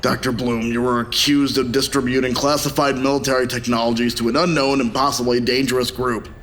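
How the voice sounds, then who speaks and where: A man playing a stern ship's captain in an audio drama, grave, measured and commanding. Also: medium pitch, level tone, fairly bright tone, a little hiss or hum, close to an everyday voice. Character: stern, authoritative male military ship captain.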